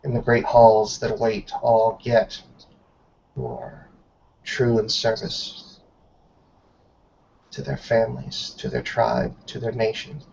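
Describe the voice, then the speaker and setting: A man voicing a stern female werewolf elder who passes judgement in grave, deliberate, formal words in a tabletop game. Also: lowish voice, creaky, a little mellow, slight background noise. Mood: solemn, condemning. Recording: muffled lo-fi online call.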